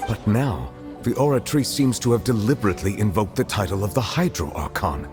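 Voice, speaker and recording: A man voicing a solemn, courteous chief judge in a video game cutscene, speaking formally and deliberately with composure. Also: on the low side, lively intonation, music in the background, natural voice. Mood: measured, questioning.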